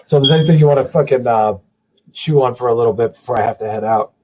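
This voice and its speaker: A man in his forties, a podcast guest, chatting casually with a voice that is going hoarse from a cold, swearing freely and rambling with lots of restarts. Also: mid-range voice, rapid-fire, muffled recording, noisy background. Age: 40s. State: losing his voice, starting a cold. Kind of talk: casual chat.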